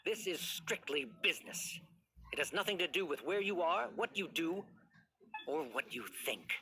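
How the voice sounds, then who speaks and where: A man's voice: on the high side, expressive, moderate pace, background noise. A man playing a cold, pompous middle-aged scholar official in a video game, curt and dismissive.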